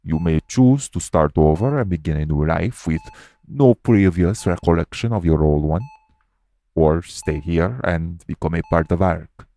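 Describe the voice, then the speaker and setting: Man acting a deep-voiced, formal secret-agency official. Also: warm tone. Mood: grave, matter-of-fact. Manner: measured, formal, matter-of-fact. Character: calm formal male official of a secret organization. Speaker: voice actor in an audio roleplay. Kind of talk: scripted audio roleplay, addressing the listener.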